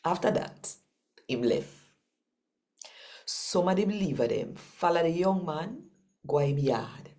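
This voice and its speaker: A woman with a Jamaican accent, hosting and reading scripture aloud in an expressive style with deliberate, emphatic phrasing. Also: low-pitched. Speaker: channel host and scripture reader. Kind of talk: expressive scripture reading and channel talk in Patwa.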